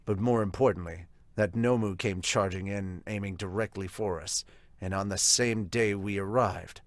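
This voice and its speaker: A man playing a gruff, stern middle-aged fire hero with a deep, held-down voice. He sounds curt and grave. The reading is dry and close-miked. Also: moderate pace, no echo.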